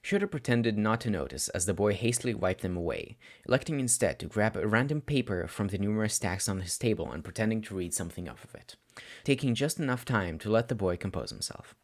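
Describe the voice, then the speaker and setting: Male story narrator, low pitch, very varied intonation, expressive dramatic phrasing, scripted audiobook delivery. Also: a little fast. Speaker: audiobook narrator of fan fiction.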